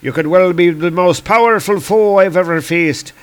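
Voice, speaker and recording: A man's voice: high voice, moderate pace, clean tone, pitched above the performer's own voice, close to an everyday voice. A man voicing a proud, furious warrior villain from a comic book, declaiming in grandiose archaic speech. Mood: defiant. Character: Lear, proud furious Celtic warrior villain, bombastic and defiant. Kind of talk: reading comic book dialogue aloud.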